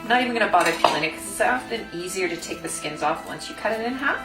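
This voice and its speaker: A woman presents a cooking demonstration, walking through each step like an instructor. Her voice sits at a medium pitch. It is picked up from a distance in an echoey room, with music and kitchen sounds behind her. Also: animated, quick pace. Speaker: food designer and cooking host. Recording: roomy echo, distant mic, music behind.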